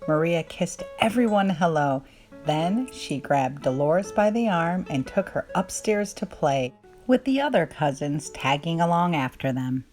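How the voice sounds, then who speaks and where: A woman reads a children's story aloud in a fairly low voice. Her intonation moves around a lot, with measured storytelling delivery. Guitar music plays softly behind her. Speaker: children's book reader. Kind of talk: expressive storybook read-aloud narration. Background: plucked guitar music.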